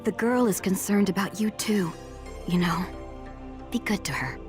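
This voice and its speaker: A woman voicing a cool, businesslike female agent in a game, crisp and matter-of-fact as she briefs. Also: medium pitch, normal speed, over music, close to an everyday voice. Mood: briefing.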